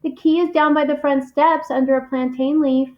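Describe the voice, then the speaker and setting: A woman reading the part of an unhinged wife, gently insistent and sweetly defiant toward her husband in a story. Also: high-pitched, fairly even intonation, normal speed, highs cut off, voice pushed up, natural voice. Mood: gently coaxing.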